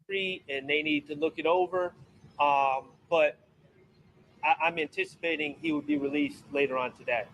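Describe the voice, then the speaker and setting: A man giving an earnest statement in a measured, hesitant way. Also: a little high, lively intonation, creaky, a bit bright, poor audio. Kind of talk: statement in a played clip.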